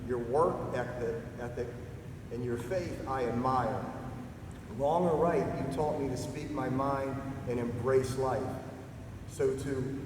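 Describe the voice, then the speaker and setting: A man's voice: mid-range voice, fairly even intonation. Middle-aged man giving a heartfelt speech in an echoing hall. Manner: heartfelt, halting, with fillers and throat-clearing. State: losing his voice. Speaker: retiring army staff sergeant giving a speech. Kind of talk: emotional retirement speech. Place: ceremony venue. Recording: echoey distant hall recording with noise.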